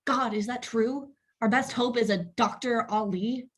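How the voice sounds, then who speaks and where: A woman acting an anxious, distrustful wife in a table read, with a husky voice. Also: a little high, fairly even intonation, unhurried. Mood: uneasy, suspicious.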